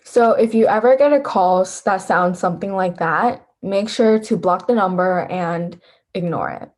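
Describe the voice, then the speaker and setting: A woman's voice: fairly low pitch, moderate pace, darkish tone. A teenage young woman presenting a scripted lesson as an instructor. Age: teens. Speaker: volunteer instructor.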